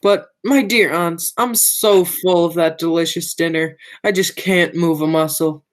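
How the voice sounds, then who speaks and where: Sinister criminal nephew, played by a young woman, amateur radio drama; slightly low, bossy, insinuating. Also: steady tone, measured pace, natural voice. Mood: falsely polite. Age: young adult. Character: sinister, domineering man, scheming criminal nephew. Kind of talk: amateur radio play acting.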